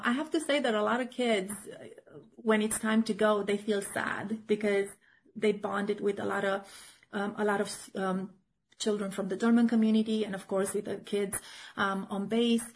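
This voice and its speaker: A woman's voice: mid-range voice, low-quality recording. A middle-aged woman from Romania, chatting in an interview as a children's author and teacher, full of fillers and restarts. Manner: chatty, many fillers and restarts, laughs often. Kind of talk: casual interview chat.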